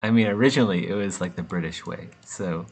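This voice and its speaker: A man's voice: sits low, quick pace, vocal fry, husky. A man from the United States chatting casually and joking as he works, laughing often between rambling asides. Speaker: artist streaming while sculpting. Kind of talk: casual chat while working.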